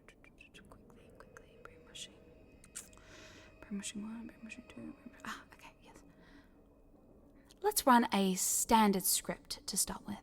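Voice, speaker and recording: A woman's voice: medium pitch, a bit bright, close to an everyday voice. A woman voicing a scheming, smugly controlling corporate boss in a scripted audio roleplay, coaxing the listener in a condescending, teasing, businesslike way. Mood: muttering, busy. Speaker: voice actor.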